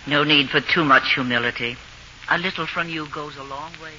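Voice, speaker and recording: An actress playing a calm, wise village mother in a 1940s radio drama, firm, dry and understated. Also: sits low, a little fast, a little hiss or hum. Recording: muffled old radio broadcast, music behind.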